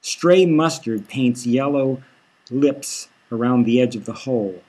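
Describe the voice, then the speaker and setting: Male audiobook narrator, author-read satirical fiction; husky, unhurried delivery. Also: mid-range voice, warm tone. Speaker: author reading own novel.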